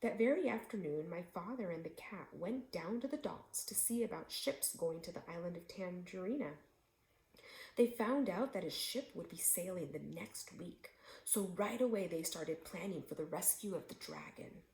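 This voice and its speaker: Female children's storyteller, animated and expressive read-aloud delivery, audibly roomy sound. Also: lowish voice. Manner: expressive, pausing to ask listeners questions.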